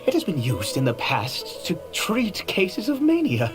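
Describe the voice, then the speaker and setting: An actor playing an ancient cursed nobleman in a video game, frail, desperate and unstable, speaking theatrically and haltingly with erratic swings. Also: sits high, wildly swooping pitch, heavily acted. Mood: earnest, brooding. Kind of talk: dramatic video game voice acting. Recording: game audio with faint music behind.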